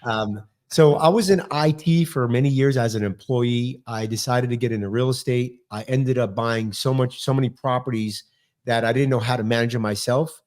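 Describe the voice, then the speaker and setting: A man in his fifties with a New York accent, talking fast and with lots of energy as a guest on a podcast. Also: mid-range voice, a little mellow. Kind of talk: casual interview chat.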